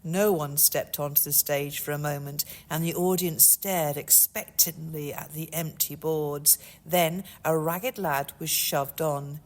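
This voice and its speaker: A woman's voice: low-pitched, fairly even intonation. Woman reading her novel aloud. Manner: measured storytelling. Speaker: author.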